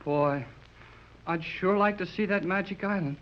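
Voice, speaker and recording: A man's voice: high voice, unhurried. An actor playing an eager, polite, bashful teenage American boy in a 1930s radio serial, speaking earnestly in boyish slang with shy stammers. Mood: wistful, intrigued. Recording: muffled vintage 1930s radio recording.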